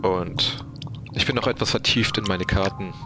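A man voicing an absorbed, unhurried pirate navigator brooding over his charts in an audio drama. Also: low voice, music in the background, close to an everyday voice.

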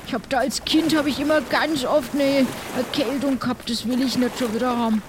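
Guy doing a whiny soft pirate, high voice, chatty n rambling, bit of background noise, audio play. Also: steady tone, brisk. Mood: complaining. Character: grumbling, soft pirate Kalle, fussy about catching colds. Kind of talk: improvised audio adventure play. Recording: noisy background ambience.

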